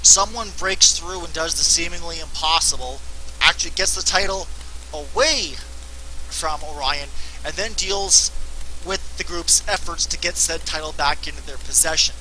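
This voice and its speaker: A man's voice: on the high side, normal speed, sharp tone, slight background noise, low-quality recording. A man answering fan questions in a casual, rambling, self-mocking way, laughing often. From Canada. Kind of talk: casual unscripted Q&A.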